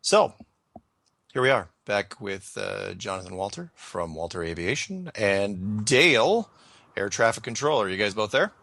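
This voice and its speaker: A man's voice: on the low side, sing-song, a little slow, creaky, pushed and bright, no echo. Casual male radio host, dry close sound.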